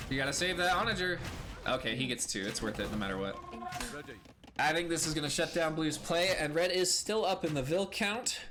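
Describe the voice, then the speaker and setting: A hoarse man with a cold commentates a strategy match in a relaxed, casual way. His steady analysis is broken by sudden excited exclamations, and he clears his throat every so often. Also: fairly high pitch. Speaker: strategy game caster. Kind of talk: casual play-by-play game commentary.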